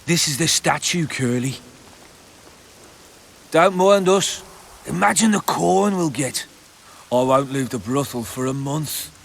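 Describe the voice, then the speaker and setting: Fantasy game thug: slow, gravelly, highish voice, greedy and dim. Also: male voice, lively intonation, heavily acted. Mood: greedy, gloating. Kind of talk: video game voice acting.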